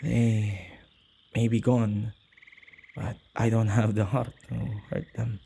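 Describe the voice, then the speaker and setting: Man acting as a male witch, creaky low voice, unhurried, gentle, halting, possessive, intimate ASMR audio roleplay. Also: little pitch movement, a little hiss or hum. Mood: somber, reluctant. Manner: halting, gentle, slightly archaic phrasing. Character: possessive male witch, soft-hearted keeper of ghosts.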